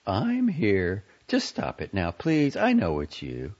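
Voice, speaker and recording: A man's voice: on the low side, huge pitch swings, slow pace, darkish tone, pitched above the performer's own voice, exaggerated voice. A man reading aloud and voicing a young woman on the phone who is exhausted and exasperated. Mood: pleading. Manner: pleading, exasperated. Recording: dull lo-fi audio.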